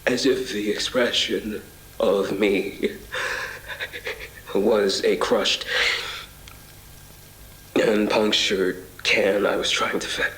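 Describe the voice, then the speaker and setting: Actor as a deep, haunted, halting man. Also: steady tone. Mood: pained, struggling. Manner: halting, hushed, breathy pauses, reflective. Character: shaken, apologetic man haltingly recounting an otherworldly ordeal. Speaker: voice actor in an audio drama. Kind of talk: intimate first-person audio drama monologue. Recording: roomy echo with music bed. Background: music.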